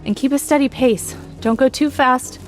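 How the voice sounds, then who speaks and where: A woman voicing an annoyed, bossy young female student in an audio drama, brisk and sarcastic but helpful. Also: sits high, faint music behind, pitched above the performer's own voice, natural voice. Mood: firm, instructing.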